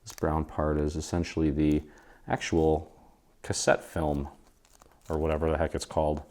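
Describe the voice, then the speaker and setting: An American man speaks in a low voice as he hosts a craft tutorial. His delivery is casual and easygoing, walking through each step informally. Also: flat delivery, moderate pace, a little mellow. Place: indoor craft studio.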